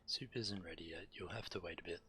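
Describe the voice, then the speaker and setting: A man's voice: low-pitched, quick pace, pushed and bright, slight background noise. A man streaming a video game, reading quest text aloud and commenting casually.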